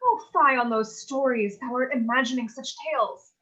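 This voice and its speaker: An actress playing a brisk, no-nonsense young babysitter who scolds firmly in theatrical mock-Shakespearean English. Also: female voice, a little high, bright, forceful tone, muffled recording. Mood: firm, scolding. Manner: firm, theatrical. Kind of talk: Shakespearean parody staged reading.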